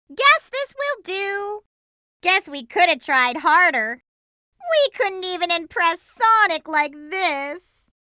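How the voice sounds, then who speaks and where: A feisty, lovestruck young hedgehog girl from a video game. Her voice is extremely high and girlish, with a very bright, sharp edge. She is perky, sassy and a little bossy, recorded dry and close. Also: dry recording, exaggerated voice. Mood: mildly put out. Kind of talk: animated video game voice acting.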